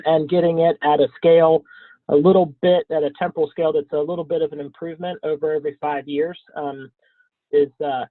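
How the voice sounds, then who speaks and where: A man presenting slides in a webinar, scratchy from a cold, speaking haltingly with frequent ums and throat clearing. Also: fairly high pitch, no echo. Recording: thin phone-like webinar audio, dry.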